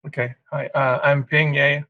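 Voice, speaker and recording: A man of middle pitch introducing himself on a panel, hesitant and full of "uh" fillers. His voice sounds dry and close, with no room echo. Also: level tone, moderate pace, highs cut off. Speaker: panelist, software engineer.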